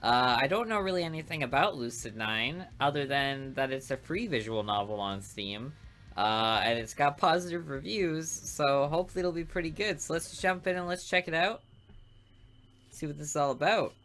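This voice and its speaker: A man's voice: a little high, moderate pace, a bit bright. A man giving let's play commentary as the host of a gaming video.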